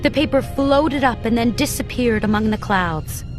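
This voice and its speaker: A woman's voice: on the high side, normal speed. A woman narrating a scripted children's story as the storyteller. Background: clear background music.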